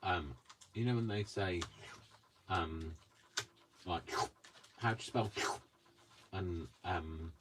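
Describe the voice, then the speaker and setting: Deep-voiced man, mild posh British accent, hesitant rambling chat, ums, recorded from across the room, background noise. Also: slow pace, pauses often. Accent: mild posh British English. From Coventry, England. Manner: rambling, hesitant, self-deprecating, many ums. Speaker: craft vlogger. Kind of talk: casual chat while crafting. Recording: distant mic with clear background noise.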